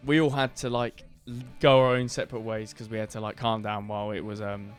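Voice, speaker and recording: Booming-voiced man, rambling. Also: flat delivery, brisk, clean tone, faint music behind. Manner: rambling storyteller, many fillers and restarts. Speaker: band member co-hosting a podcast. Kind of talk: casual chat.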